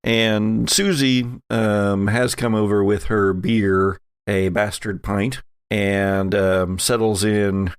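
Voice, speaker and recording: A man's voice: on the low side, flat delivery, slow, hardly any pauses, smooth, no room sound, clean studio sound. A man hosting a podcast, chatting casually and narrating a tabletop game as game master.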